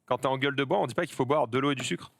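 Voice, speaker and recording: A man's voice: medium pitch, racing, vocal fry, a bit bright, no room sound. A man hosting a casual group talk show, bantering with his co-hosts. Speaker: host.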